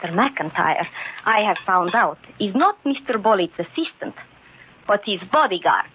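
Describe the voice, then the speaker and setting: An actress playing a worried, gently scolding immigrant wife, speaking formally in old-time radio drama style. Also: female voice, mid-range voice, expressive, normal speed, lightly acted. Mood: worried, reproachful. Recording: muffled, noisy old radio recording.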